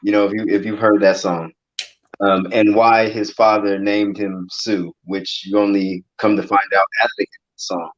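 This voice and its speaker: A man's voice: lowish voice, level tone, moderate pace. A man hosting a casual conversation, asking follow-up questions. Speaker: podcast host. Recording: dull lo-fi sound.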